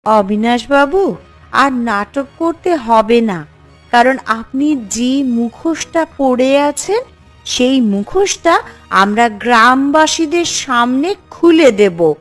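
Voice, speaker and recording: Female actor as earnest village sister, slow, fairly high, resolute, cartoon drama, background music. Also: animated. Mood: accusing. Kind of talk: cartoon voice acting.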